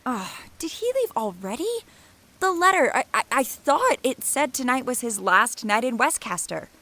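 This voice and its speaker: A woman's voice: sits high, big pitch swings, moderate pace, bright, forceful tone, full character voice. A woman playing a skittish, sardonic young college student in an audio drama, casual and hesitant, often muttering to herself. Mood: impatient. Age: young adult. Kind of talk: naturalistic audio drama acting.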